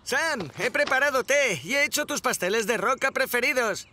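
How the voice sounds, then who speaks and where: A man's voice: very high-pitched, lively intonation, normal speed, sharp tone, a little hiss or hum, full character voice. A man voicing a bumbling, flustered male firefighter in a dubbed cartoon, speaking in a hesitant, goofy way. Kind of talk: cartoon dubbing.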